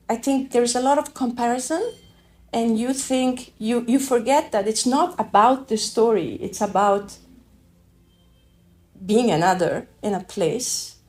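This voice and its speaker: A middle-aged woman from Afghanistan with a French accent, telling her own story in a reflective, halting way with many restarts. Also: mid-range voice, a little mellow. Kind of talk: reflective personal testimony.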